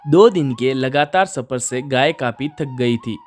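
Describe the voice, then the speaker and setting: A man's voice: medium pitch, a little slow. A man narrating a scripted children's moral story. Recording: music under the voice. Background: background music.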